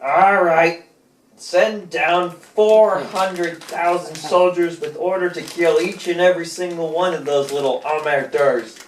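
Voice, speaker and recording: A man's voice: on the high side, steady tone, unhurried, lightly acted. A young man playing a ruthless, dismissive French general in an amateur puppet show, on an echoey recording with some noise and distance. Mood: callous. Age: young adult.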